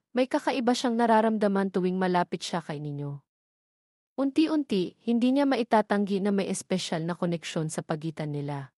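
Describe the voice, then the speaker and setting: A woman tells a romance story in scripted, dramatic narration. Her voice sits at a medium pitch. The sound is dry, with little room to it. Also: a little mellow, no echo.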